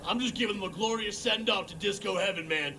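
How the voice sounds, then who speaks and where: A man's voice: high-pitched, quick pace, creaky, sharp tone, a little hiss or hum, exaggerated voice. A man playing a goofy, reckless pyromaniac slacker in a video game, rambling on in chummy slang. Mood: gleeful, rambling. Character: goofy, reckless male pyromaniac redneck slacker with grandiose talk. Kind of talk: comic game cutscene monologue.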